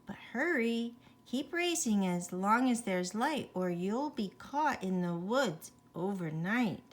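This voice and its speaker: Woman as a grumpy, slow-talking old owl. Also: medium pitch, lively intonation. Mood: grumpy, urging. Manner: growling, advising in rhyme.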